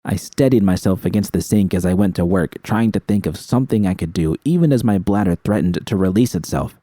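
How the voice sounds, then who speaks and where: A man reading first-person fiction as an audiobook, with tense, expressive dramatic storytelling. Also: low voice, a little fast, dry recording, studio quality.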